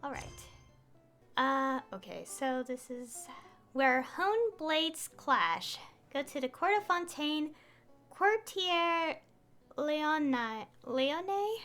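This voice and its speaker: A woman streaming a game, chatting casually and reading on-screen text aloud in a hesitant, unhurried way. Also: high-pitched, expressive. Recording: soft music behind the voice. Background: game music.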